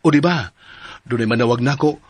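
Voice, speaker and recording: A gentle, caring father played by a man in a Philippine radio drama. His voice is extremely husky and recorded dry, with a Filipino accent. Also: medium pitch, brisk, no echo, close to an everyday voice. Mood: tender.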